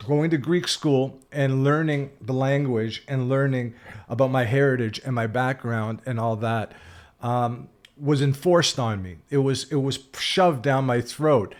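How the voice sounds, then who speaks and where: A man of sixty, speaking at an ordinary middle pitch. He talks in a loose, reflective way, full of fillers and self-corrections, and turns emphatic when he disagrees. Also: measured pace. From Canada. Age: 60s. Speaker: spiritual vlogger. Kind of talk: reflective solo talk.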